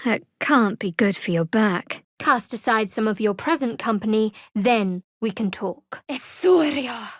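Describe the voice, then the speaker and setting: A woman playing a guarded, sardonic young cleric of a dark goddess in a video game, speaking in a dry, measured, wry way. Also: a little high, lively intonation, no room sound. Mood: forceful incantation. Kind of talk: video game character voice acting.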